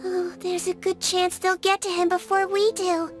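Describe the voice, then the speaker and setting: A gentle little creature nurse with a tiny, very high voice. She speaks sweetly and earnestly in game dialogue. Faint music sits under the voice. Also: level tone, normal speed. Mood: eager. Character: small childlike female creature nurse, gentle. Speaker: game character. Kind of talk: video game voice acting.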